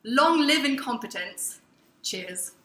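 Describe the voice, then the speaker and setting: A smug, unapologetic male wanderer holds forth pompously and flippantly, acted by a woman in a dramatic reading. The voice is bright and fairly high, in an echoey room with faint noise. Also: expressive, slow, heavily acted. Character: smug, unapologetic middle-class Anglo-Saxon male wanderer. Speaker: performer in a dramatic reading of emails.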